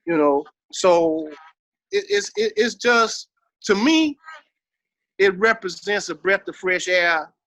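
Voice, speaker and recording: A man's voice: sits high, very animated, slow, fairly bright tone, no echo, low-quality recording. A man with a Texas Southern accent talking casually in an interview, emphatic and full of slang and swearing. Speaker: interview guest, hip-hop veteran.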